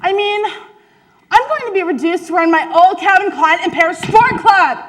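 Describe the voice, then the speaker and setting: A woman's voice: extremely high pitch, expressive, moderate pace, fairly bright tone, pitched above the performer's own voice. A teenage girl playing a classmate who whines about clothes prices. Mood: whiny. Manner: complaining, whiny. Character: whiny, fashion-obsessed teenage girl.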